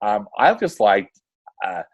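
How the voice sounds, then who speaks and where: A man co-hosting a casual film discussion podcast, earnest and halting, often rephrasing mid-thought. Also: lowish voice, very animated, dry recording, muffled recording. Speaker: podcast co-host reviewing animated films.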